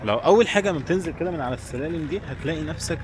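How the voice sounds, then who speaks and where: A man's voice: a little high, animated, racing. A man with an Egyptian Arabic accent giving casual, chatty travel commentary straight to his viewers while walking. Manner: chatty, addresses viewers directly. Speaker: travel vlogger. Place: outdoors, walking in streets and a village. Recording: noisy outdoor street and traffic sound.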